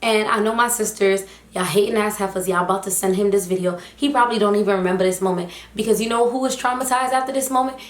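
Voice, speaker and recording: Young woman vlogger, slightly hoarse, chatty storyteller, mid pitch, echoey room. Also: fast, hardly any pauses. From United States, Haitian family. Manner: chatty, animated, lots of fillers. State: slightly hoarse, losing her voice. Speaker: vlogger, teacher. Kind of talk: casual storytime vlog.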